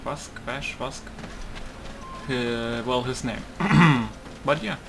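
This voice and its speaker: A man's voice: mid-range voice, very slow, heavy background noise. A man giving casual let's play commentary, thinking aloud in a musing way. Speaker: gaming YouTuber.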